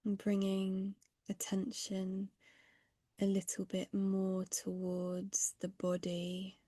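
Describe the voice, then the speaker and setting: A woman leading a guided mindfulness meditation in a gentle, unhurried, breathy voice with long pauses, some phrases fading to a near-whisper. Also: mid-range voice, completely flat delivery, dry recording, clean studio sound.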